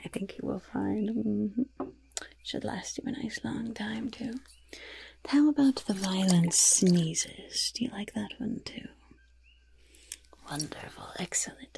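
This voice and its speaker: Woman playing a whispering, gravelly goblin shopkeeper. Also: medium pitch, a little mellow, exaggerated voice. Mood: sly, pleased. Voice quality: breathy, husky whisper with gravelly creak. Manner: sly, polite, hesitant patter with repeated words. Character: gleefully wicked, chatty goblin shopkeeper selling poisons and curses. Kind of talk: whispered ASMR roleplay, shopkeeper to customer.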